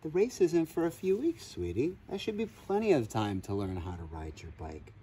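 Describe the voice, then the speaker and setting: A man's voice: medium pitch, huge pitch swings, normal speed, dark tone, breathy edge, exaggerated voice. A man voicing a kindly, reassuring old grandmother goat in a children's storybook, outdoors. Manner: soothing, kindly.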